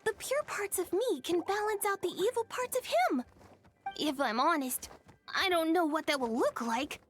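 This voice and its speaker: A small young dragon with a very high, soft, boyish voice. He is shy and hesitant yet earnest and determined, a gentle game character speaking over faint music. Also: animated, moderate pace. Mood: resolute, reflective. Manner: hesitant, sincere. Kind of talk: video game voice acting.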